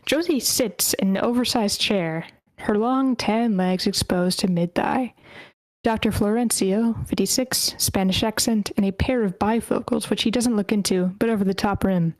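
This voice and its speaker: A woman's voice: medium pitch, normal speed, no echo, rough recording. A woman reading stage directions aloud as the narrator at a screenplay table read, in short clipped phrases.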